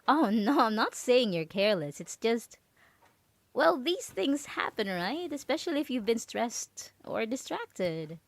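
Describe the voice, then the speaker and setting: A possessive yandere roommate, played by a woman in an ASMR audio drama, speaks in a dry, close sound. She is falsely sweet and anxiously controlling, coaxing in halting phrases with a nervous air of innocence. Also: a little high, very animated, no room sound. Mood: nervously evasive.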